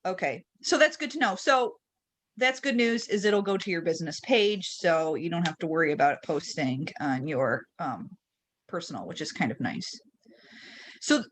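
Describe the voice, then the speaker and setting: A woman's voice: mid-range voice, a bit bright. A woman training people in an informal, conversational screen-share webinar, explaining each step and posing check-in questions as she goes. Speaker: trainer leading a software webinar. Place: online webinar.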